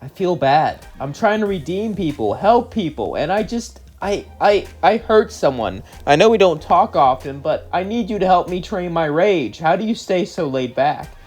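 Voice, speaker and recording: A man's voice: high-pitched, animated, moderate pace, few pauses, darkish tone, husky, soft background music, pitched above the performer's own voice. A man voicing a kind-hearted young demon princess, earnest and emotional, weighed down by guilt. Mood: distressed.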